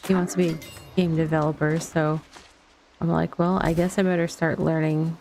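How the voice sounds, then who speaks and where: A middle-aged woman with a mellow, somewhat low voice talks casually while playing a video game. She rambles without hurry and thinks aloud, with vocal fry in her delivery. There is some noise in the recording. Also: level tone, moderate pace. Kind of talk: casual chat over gameplay.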